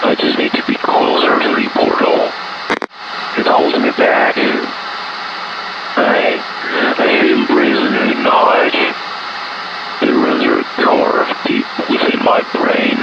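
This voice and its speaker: An actor playing a haunted paranormal investigator slowly losing his mind, recording halting, ominous horror game tape logs in a breathy rasp through a degraded recorder. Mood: entranced. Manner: slow, halting, ominous, repeats words. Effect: degraded tape recorder filter. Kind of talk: scripted found-tape horror game monologue. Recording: muffled lo-fi tape with loud noise.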